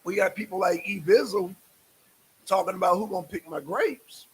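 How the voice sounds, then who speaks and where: A man's voice: high-pitched, normal speed, husky, poor audio. A man hosting a casual live stream, speaking in a repetitive, emphatic and exasperated way.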